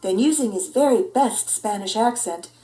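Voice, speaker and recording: A woman narrating a children's story in an expressive read-aloud style. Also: mid-range voice, moderate pace, distant mic. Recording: roomy indoor echo.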